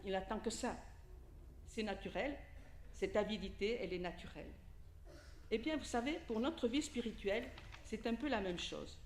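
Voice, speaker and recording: A woman's voice: on the high side, moderate pace, long pauses, reverberant, slight background noise. A woman from Italy, a native Italian speaker, giving an informal sermon and talking directly to the congregation with questions and asides. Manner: conversational, addresses listeners directly with questions and asides. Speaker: lay preacher. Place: church hall during a service.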